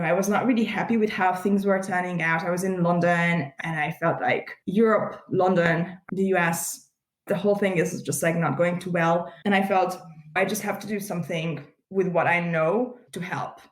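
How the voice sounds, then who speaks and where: A woman from Hungary, an animated podcast guest who laughs easily and fills her talk with "like" and "you know", speaking over a video call. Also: fairly low pitch. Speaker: guest, community founder. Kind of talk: casual interview chat. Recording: echoey room on a video call.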